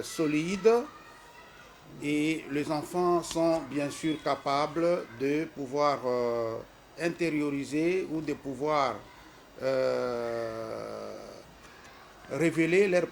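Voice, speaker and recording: Congolese man, middle-aged, slow hesitant speech with a preacher's emphasis, faint noise under the recording. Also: on the high side. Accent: Congolese French. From Congo-Kinshasa. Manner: hesitant, many fillers. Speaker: school representative. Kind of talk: formal address presenting a school.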